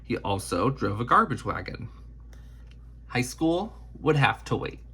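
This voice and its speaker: A man reading a children's picture book aloud in deliberate, emphatic phrasing. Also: medium pitch, big pitch swings, bright, forceful tone, breathy edge. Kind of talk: scripted storybook read-aloud.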